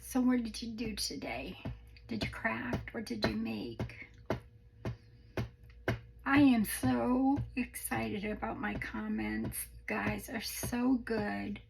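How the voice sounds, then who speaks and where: Croaky-voiced woman chatting while crafting. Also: a little high, very animated, slow pace, background noise. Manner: chatty, rambling, self-deprecating with small laughs. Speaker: crafting channel host. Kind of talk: casual craft tutorial chat.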